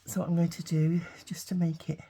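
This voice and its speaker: A woman, hoarse with a cold and gruff-voiced, hosting a casual crafting video and talking hesitantly with many ums while she works. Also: fairly low pitch, flat delivery, a little fast, warm tone, a little hiss or hum. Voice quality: gruff, gravelly. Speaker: craft channel host.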